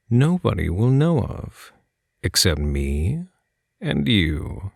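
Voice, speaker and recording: A man plays a charming phantom thief with a very low voice that swings expressively as he teases and coaxes. He speaks softly and knowingly in a close, dry ASMR roleplay, as if confiding in one listener. His delivery is unhurried and intimate. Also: gravelly, dry recording, exaggerated voice. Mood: confiding, seductive. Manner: soft-spoken, coaxing, knowing, unhurried. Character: suave, teasing male phantom thief posing as prison guard.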